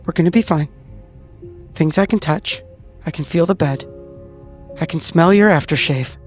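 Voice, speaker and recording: A woman in character as a shaken, haunted agent, halting and repeating to steady themself, in a horror roleplay. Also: deep voice, faint music behind, lightly acted. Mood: anxious, self-soothing. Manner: halting, repeating, self-soothing.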